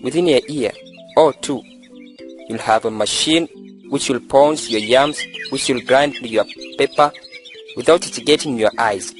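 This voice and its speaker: A man's voice: mid-range voice, unhurried, soft background music, close to an everyday voice. A man playing a pompous, lovestruck young village schoolteacher in an amateur student drama, speaking in a declamatory, preachy way. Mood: preachy, condescending.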